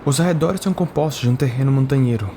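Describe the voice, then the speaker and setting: A man's voice: mid-range voice, fast talker, noisy background. A man with a Brazilian accent delivering scripted fantasy narration as a tabletop game storyteller.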